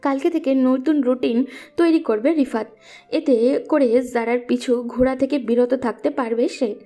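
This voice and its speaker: A woman's voice: high-pitched, a little slow, warm tone, soft background music. A woman narrating a scripted romantic story.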